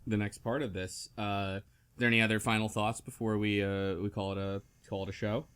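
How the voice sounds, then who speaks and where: A man's voice: fairly low pitch, little pitch movement, a little fast, a bit bright, smooth. A man hosting a casual discussion podcast, speaking in an easygoing, somewhat hesitant way. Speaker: podcast host. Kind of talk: casual book club discussion.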